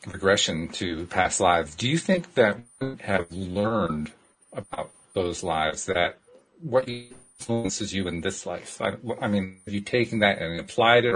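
A man's voice: sits low, breathy edge, low-quality recording. A man hosting a casual talk show, asking a guest long questions with restarts. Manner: lively, laughing, stumbling restarts. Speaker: host.

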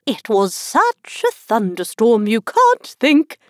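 A woman's voice: a little high, wildly swooping pitch, takes it slowly, dry recording, studio quality, pitched above the performer's own voice, full character voice. A woman voicing a vague, rambling old storybook queen, muttering absently as if to herself. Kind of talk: storybook character reading.

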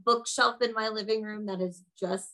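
A woman's voice: medium pitch, steady tone, normal speed, pushed and bright. A woman co-hosting a book podcast, bubbly and enthusiastic, laughing a lot in casual chat. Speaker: podcast co-host.

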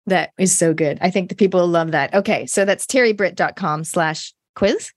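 A woman's voice: fairly low pitch, moderate pace, dry recording, clean studio sound. A woman with a Canadian accent hosting an interview, asking questions in a chatty, curious way. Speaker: podcast host. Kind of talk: casual interview chat.

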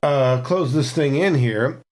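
A man's voice: mid-range voice, slow, darkish tone. A man playing a tabletop game, chatting casually about his character in the third person. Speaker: player.

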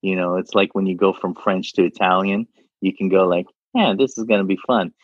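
A man's voice: deep voice, fairly even intonation, fairly quick, husky, no room sound. A man speaking casually as an interview guest, rambling and repeating words as he talks. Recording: dull lo-fi sound.

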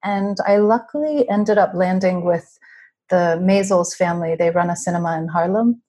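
A woman in her thirties from California, a documentary filmmaker, answering interview questions in a relaxed, chatty way over a remote connection. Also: fairly low pitch, moderate pace. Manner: relaxed, chatty, with light laughs. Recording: remote call audio.

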